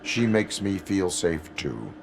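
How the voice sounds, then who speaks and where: Casting: robotic lawman, extremely low gravelly voice, deadpan, formal, game cutscene. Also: takes it slowly, slight background noise, heavily acted. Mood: stoic, flat. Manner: deadpan, clipped formal phrasing. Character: stoic cyborg police officer, heroic, formal and restrained. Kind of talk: video game cutscene acting.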